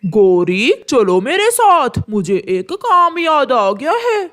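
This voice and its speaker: A woman's voice: high-pitched, very animated, takes it slowly, heavily acted. A woman voicing a selfish, scheming male crow in a children's cartoon, with a dismissive, bossy manner. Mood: sly. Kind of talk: Hindi children's cartoon voice acting.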